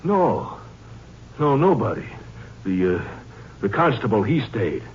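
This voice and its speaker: An actor playing an oily, evasive gambling racketeer who stammers nervously in a 1950s radio crime drama. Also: male voice, lowish voice, sing-song, slow pace. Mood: nervously ingratiating. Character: slippery, nervous male gambling-joint racketeer. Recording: lo-fi old radio recording, faint noise.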